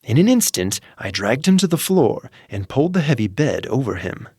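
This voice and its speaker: A man narrating a dark story in a dramatic, slow reading, dropping to hushed murmurs and rising to sudden intense outbursts. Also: medium pitch, very animated, slightly rough, dry recording, clean studio sound. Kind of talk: dramatic audiobook reading for sleep.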